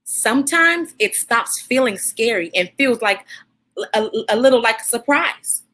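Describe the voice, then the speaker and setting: A woman voicing a boy's gentle, encouraging father in a soothing storybook read-aloud. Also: on the high side, lively intonation, a little slow. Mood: gentle, reassuring. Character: gentle, reassuring father of a young boy.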